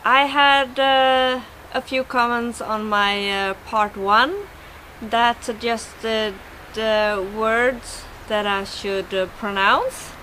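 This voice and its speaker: A middle-aged woman with a Swedish accent teaches words to a camera outdoors. Her voice is bright and fairly high, and she goes very slowly, with halting pauses and careful pronunciation. A little outdoor noise sits behind her. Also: steady tone, rough recording. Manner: slow, halting delivery with careful word-by-word pronunciation. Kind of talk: informal language lesson to camera. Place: outdoors by a wooden fence in a garden.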